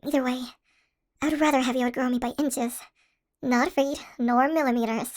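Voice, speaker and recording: A woman's voice: high voice, steady tone, normal speed, close to an everyday voice. A woman playing a tiny shrunken young college student, playful and affectionate, in a scripted audio roleplay. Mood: playful, teasing.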